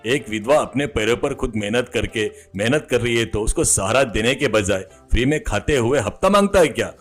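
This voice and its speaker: A man's voice: mid-range voice, expressive, normal speed, soft background music, lightly acted. A man voicing a righteous police inspector with a grave, reproachful manner in a moral story. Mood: stern. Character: stern righteous police inspector scolding a goon. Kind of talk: comic moral storybook character voice.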